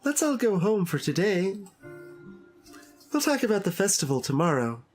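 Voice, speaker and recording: Man putting on an earnest high-pitched club president girl. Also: big pitch swings, normal speed. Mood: encouraging. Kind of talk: voiced visual novel reading. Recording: music playing behind the voice.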